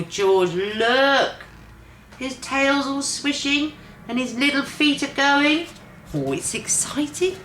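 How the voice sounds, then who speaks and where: A woman's voice: on the high side, pushed and bright. An older woman reading a children's picture book expressively, like a grandmother, with exclamatory asides to a child. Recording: roomy echo, faint background noise.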